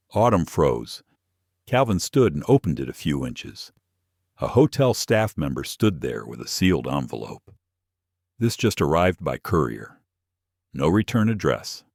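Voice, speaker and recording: A man's voice: low voice, a little mellow, no room sound, studio quality. A man reading a scripted dramatic story as its narrator.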